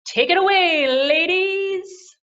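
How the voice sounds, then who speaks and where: A woman's voice: very high voice, takes it slowly, fairly bright tone, muffled recording, voice pushed up, exaggerated voice. A woman voicing a self-important, theatrical male puppet Broadway critic in a grandiose manner for a children's puppet show. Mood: eager, fussy. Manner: grandiose, dramatic, with repeated phrases.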